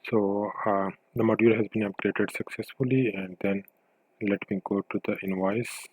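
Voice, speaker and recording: A man presenting a screencast software tutorial as a developer, explaining step by step in halting, pieced-together phrases. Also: lowish voice, little pitch movement, rough recording.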